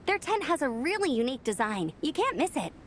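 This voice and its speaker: A chatty young knight. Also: female voice, high-pitched, sing-song, brisk, a little hiss or hum. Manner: chatty and upbeat. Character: friendly young female knight organizer. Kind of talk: game voice acting.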